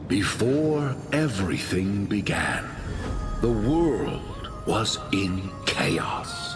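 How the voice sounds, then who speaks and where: A man playing a grave teller of ancient myth in a video game, narrating in a solemn, deliberate, portentous way. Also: on the low side, lively intonation, over music. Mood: solemn, reverent.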